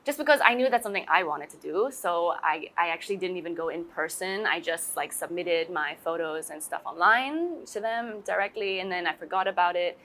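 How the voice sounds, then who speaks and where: A woman's voice: lowish voice, a little fast, few pauses, fairly bright tone. A woman in her twenties from Singapore, a guest chatting casually outdoors by a pool, often saying "like" and "you know". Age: 20s. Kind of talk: casual interview chat.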